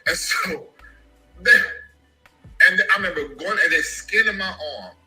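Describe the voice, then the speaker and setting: A man telling an emotional personal story about his family, animated and given to sudden high shouts. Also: a little slow, soft background music, rough recording. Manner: animated, jumps into high-pitched shouts when quoting.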